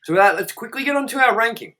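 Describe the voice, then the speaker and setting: A man's voice: very high-pitched, lively intonation, very fast, bright, forceful tone. A man hosting a casual movie review show, jokey and loose, swearing freely. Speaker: show host.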